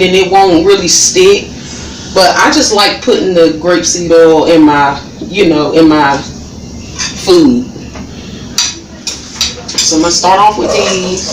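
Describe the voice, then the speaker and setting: A woman's voice: medium pitch, far from the mic, background noise. A woman, chatting casually as she cooks and sniffling from a cold. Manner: chatty, laid-back, laughs often. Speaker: vlogger cooking at home. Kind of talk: casual cooking chat. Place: small home kitchen. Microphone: camera across the kitchen. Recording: roomy kitchen sound from off-mic.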